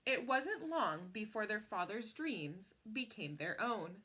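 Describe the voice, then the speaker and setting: Bright-voiced woman reading a story aloud. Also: medium pitch, animated, slow pace, slightly rough. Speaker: picture book reader. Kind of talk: expressive read-aloud storytelling.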